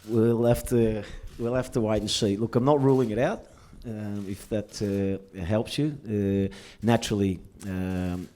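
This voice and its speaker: A man, middle-aged, speaks as a club executive at a press conference. His voice is very low and mellow. He hesitates often, filling gaps with uh and you know. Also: fairly even intonation, unhurried, few pauses.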